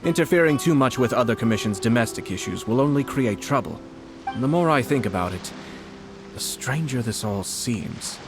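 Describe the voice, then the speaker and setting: A man voicing a composed young nobleman in a video game, speaking in a calm, formal, measured way. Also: medium pitch, over music, natural voice. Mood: earnest.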